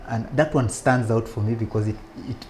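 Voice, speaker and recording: A man speaking as an interview guest, telling stories about his school years in a relaxed way, with frequent laughs and restarts. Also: fairly low pitch, fairly quick, background noise. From Uganda. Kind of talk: relaxed conversational storytelling.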